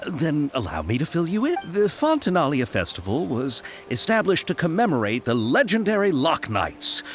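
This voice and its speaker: A man's voice: a little high, slight background noise. An actor voicing a polite, eager young male film producer in a game, courteous and wordy, with nervous little laughs. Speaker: game character. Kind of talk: video game voice acting. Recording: game audio with soft music.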